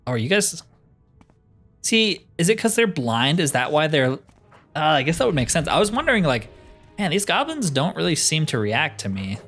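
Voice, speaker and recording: A man's voice: a little high, very animated, moderate pace, a bit bright. A man giving casual gameplay commentary, thinking aloud with dry, joking asides. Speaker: gamer narrating a roleplay playthrough. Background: game music.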